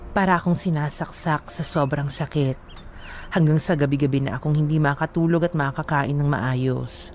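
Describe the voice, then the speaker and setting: A woman's voice: deep voice, fairly even intonation, normal speed, a little mellow, slight background noise. Woman narrating a sorrowful confession. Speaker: letter narrator. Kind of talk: scripted dramatic narration.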